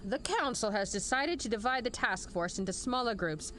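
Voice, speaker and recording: A woman acting a commanding female general in an audio drama, brisk, formal and dryly sarcastic. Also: a little high, animated, fairly bright tone, slight background noise.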